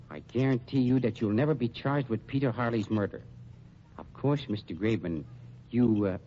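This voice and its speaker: An actor playing a suave, mercenary funeral director in an old radio crime drama, speaking with pompous, deliberate diction. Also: male voice, fairly low pitch, dark tone, natural voice. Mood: smooth, mercenary. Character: suave, shrewd funeral director, coolly mercenary double-crosser. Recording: dull, noisy old radio recording.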